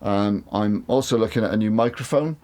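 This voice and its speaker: A man's voice: lowish voice, brisk, dark tone. A man with a big voice, talking casually to camera about studio gear, rambling, repeating words and swearing now and then. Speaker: mixing engineer reviewing studio monitors. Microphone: studio condenser mic.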